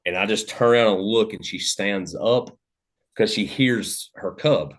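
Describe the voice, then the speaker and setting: A man, a podcast host telling a hunting story in a loud, animated, cursing way. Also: fairly low pitch, measured pace. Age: 30s. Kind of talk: casual storytelling chat.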